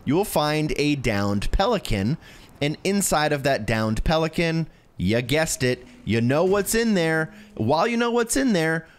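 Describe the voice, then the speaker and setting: A man narrating a video game walkthrough in a casual, instructional way, talking directly to the viewer. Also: on the high side. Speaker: video game guide creator.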